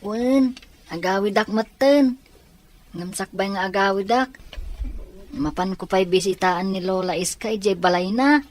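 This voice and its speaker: A woman's voice: mid-range voice, a little slow, long pauses, slight background noise, close to an everyday voice. A woman playing a polite, earnest schoolchild in an Ilocano audio drama, speaking respectfully. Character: polite, grateful schoolchild living with widowed father.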